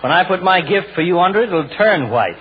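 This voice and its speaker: A man's voice: high-pitched, animated, a little fast. A man playing a pompous, sardonic middle-aged doctor in a 1940s radio comedy, with florid mock-grand insults. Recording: tinny noisy 1940s radio broadcast.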